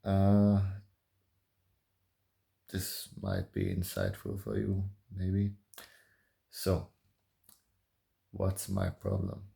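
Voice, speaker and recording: Very slow, creaky young man's voice. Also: deep voice. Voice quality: soft voice. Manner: calm, halting, hesitant with long pauses. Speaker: vlogger. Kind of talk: confessional solo vlog, thinking aloud.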